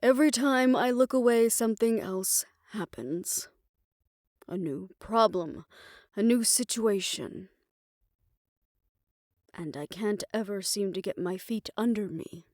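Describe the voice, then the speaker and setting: A woman plays an aging, proud female fixer who is worn down but fond of her lover. She speaks slowly and softly with wry understatement, slipping toward a whisper. The sound is dry and intimate, as in an audio roleplay drama. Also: mid-range voice, expressive, vocal fry, slightly rough, no echo, heavily acted. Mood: frustrated, despondent.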